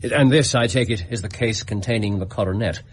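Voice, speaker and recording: An English actor playing a shrewd, commanding Victorian detective in a radio drama, with formal, precise, probing questions. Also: male voice, lowish voice, brisk, slight background noise, lightly acted. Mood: brisk, investigative. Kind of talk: British radio drama acting.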